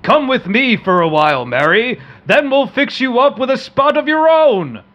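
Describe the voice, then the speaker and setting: A man's voice: extremely high pitch, sing-song, normal speed, noisy background. A man playing a confident, kindly big-brother superhero in an amateur comic-book radio play, on a dull lo-fi recording.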